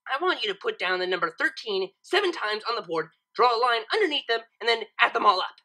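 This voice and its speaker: Woman acting stern female cartoon unicorn, bright forceful voice, emphatic, impatient, self-assured, animated pitch. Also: fairly quick. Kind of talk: acted fan fiction reading.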